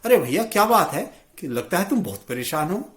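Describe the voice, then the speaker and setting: A man's voice: high-pitched, big pitch swings, moderate pace, a bit bright, pitched above the performer's own voice. A man voicing a humble, helpful village bullock-cart driver in a moral story, homely and plain-spoken. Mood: concerned, curious. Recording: roomy, echoey sound.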